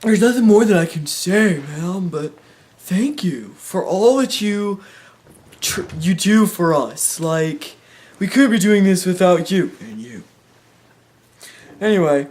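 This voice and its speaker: Loud eager high-voiced young interviewer. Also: male voice, expressive, unhurried. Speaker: mock interviewer.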